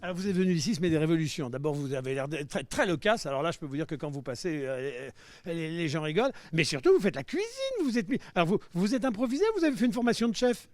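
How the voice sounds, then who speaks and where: A man with a fairly high voice hosting a live interview outdoors by the sea. He teases his guest with playful questions. The sound is dry and close. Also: expressive, fast, no echo. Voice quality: playful, teasing. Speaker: host. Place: outdoors by the seafront.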